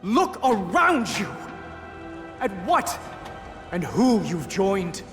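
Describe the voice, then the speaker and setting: A man's voice: sits high, very animated, full character voice. A man playing a defiant, righteous young captive king in a dramatic game cinematic, earnest and challenging. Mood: defiant, pleading. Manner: slow, impassioned, challenging. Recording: cinematic music behind the voice.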